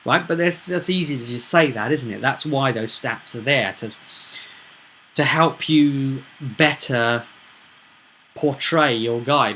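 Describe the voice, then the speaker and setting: A man's voice: mid-range voice, bright, forceful tone, slight background noise, poor audio. A man hosting a tabletop roleplay vidcast, talking informally and discursively as a game master, often adding tag questions.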